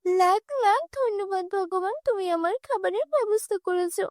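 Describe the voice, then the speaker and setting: A woman voicing a gentle young heroine, very high. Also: normal speed, dry recording, clean studio sound. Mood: earnest. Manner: soft, earnest. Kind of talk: cartoon dubbing.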